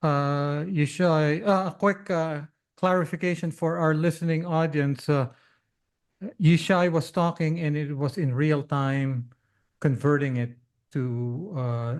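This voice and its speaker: A man hosting a podcast interview, speaking haltingly as he stops to clarify things for listeners. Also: fairly high pitch, steady tone, a little mellow. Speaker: podcast host.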